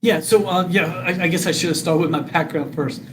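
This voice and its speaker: A man's voice: a little high, brisk, fairly bright tone, a little hiss or hum. A man in his fifties, originally from Vietnam, speaking earnestly as an interview guest and often repeating words as he starts sentences. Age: 50s. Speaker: guest, political candidate.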